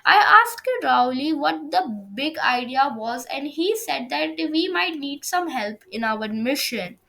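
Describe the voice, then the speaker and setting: Woman, high-pitched, creaky, slow amateur story reading, occasional stumbles. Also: expressive, pushed and bright. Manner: stumbles over words while reading. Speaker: fan fiction reader on own video channel. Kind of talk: amateur read-aloud of a first-person story.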